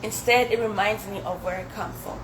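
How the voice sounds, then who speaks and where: A woman's voice: medium pitch, fairly even intonation, a little fast. A young woman from Zambia with an African accent, a native Swahili speaker, performing spoken word poetry live. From Zambia, later the United States. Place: indoor dinner event with audience. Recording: echoey room with loud background noise.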